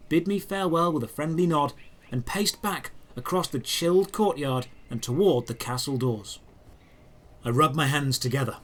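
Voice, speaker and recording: Higher-voiced man narrating a fantasy adventure. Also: a bit bright. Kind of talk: scripted first-person fantasy story narration.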